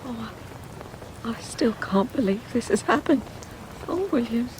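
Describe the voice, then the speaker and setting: Plaintive devoted wife, lively pitch. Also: female voice, unhurried, background noise. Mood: grieving. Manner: plaintive, hesitant. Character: anxious, devoted, self-doubting wife and grieving mother. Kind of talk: audio drama acting.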